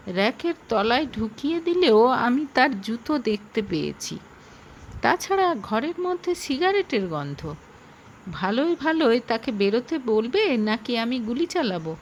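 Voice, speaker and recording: Woman as earnest husband, slow and expressive. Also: on the high side, slight background noise, rough recording. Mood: stern, accusing. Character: devoted, suspicious husband, a police officer. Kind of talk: audio story acting.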